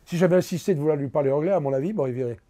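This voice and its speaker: An older man, a veteran actor, telling animated anecdotes in a relaxed interview and acting out the lines of the people in his stories. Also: a little high, rapid-fire. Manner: animated anecdotes, acts out quotes. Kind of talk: casual storytelling interview.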